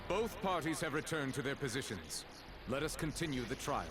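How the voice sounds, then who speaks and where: A man playing a stern, formal judge in a video game, speaking in a measured, official manner. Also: medium pitch, lively intonation, over loud music, natural voice. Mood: solemn. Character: stern, formal male judge presiding over a trial.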